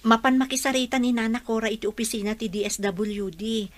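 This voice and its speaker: A woman's voice: on the high side, flat delivery, fairly quick, natural voice. An actress with an Ilocano accent playing a caring, worried mother in a radio drama, coaxing and reassuring. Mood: concerned, reassuring. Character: caring, worried mother counselling her grown daughter.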